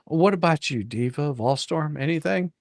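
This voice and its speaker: A man's voice: fairly high pitch, lively intonation, normal speed, vocal fry, no room sound, studio quality. A man hosting a live stream, chatting casually and jokingly with his co-hosts. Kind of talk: casual group chat, joking.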